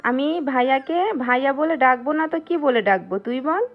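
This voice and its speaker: A woman's voice: high voice, animated, moderate pace, phone-quality sound, faint music behind. A woman playing a hero's young little sister in an audio story, familiar and questioning, in a thin band-limited recording. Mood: puzzled. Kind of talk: dramatized audio story acting.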